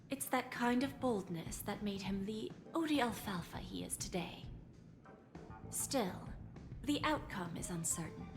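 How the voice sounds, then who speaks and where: Shrewd, expressive woman schemer over game music. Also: medium pitch. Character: shrewd, composed woman schemer with sly amusement. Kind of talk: voiced video game dialogue. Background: background music.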